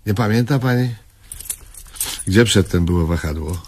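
An actor playing a grumpy, self-important, stubborn man in a comedic radio play, curt and husky. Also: on the low side, normal speed, slight background noise, lightly acted. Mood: grumbling, self-important. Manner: curt, gruff, dismissive.

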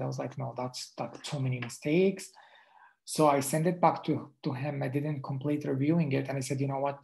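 A man's voice: a little high, vocal fry, darkish tone, poor audio. A man whose first language is Arabic, a dermatologist giving a casual online lecture over slides, talking conversationally with many restarts and fillers. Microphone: video call.

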